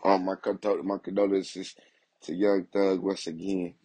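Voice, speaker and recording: Husky low-voiced man in street-style commentary. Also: flat delivery, a little slow, dark tone, no room sound. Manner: streetwise, repeats "you feel me" constantly. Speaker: news commentary host. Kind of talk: casual commentary vlog.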